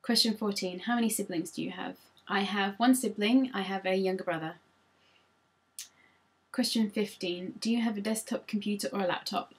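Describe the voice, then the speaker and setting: A woman's voice: mid-range voice, fairly even intonation, normal speed, slightly rough. A woman, chatting casually through tag questions on her vlog with frequent ums, recorded on a laptop. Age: 20s. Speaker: vlogger.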